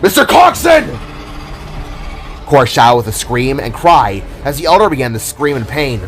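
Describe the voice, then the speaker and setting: A man's voice: mid-range voice, normal speed, loud music behind the voice. A man narrating a scripted story in a dramatic style.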